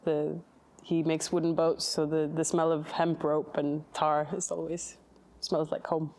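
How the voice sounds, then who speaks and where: A woman from Norway, an artist, calmly answering interview questions about her work. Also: sits low, measured pace.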